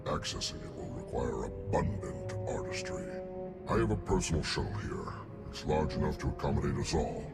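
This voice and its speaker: Casting: huge conceited slug-alien genius in a game, bottomless gravelly bass, pompous and condescending. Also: male voice, big pitch swings, moderate pace, exaggerated voice. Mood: haughty. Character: haughty male Hutt scientist, huge slug-like alien genius. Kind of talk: video game cutscene acting. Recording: music playing behind.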